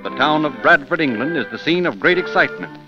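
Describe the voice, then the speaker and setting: A man's voice: fairly high pitch, lively intonation, fast. A man narrating a scripted 1930s radio show in a dramatic, portentous manner. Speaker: narrator, host. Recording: muffled old 1930s radio recording. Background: music.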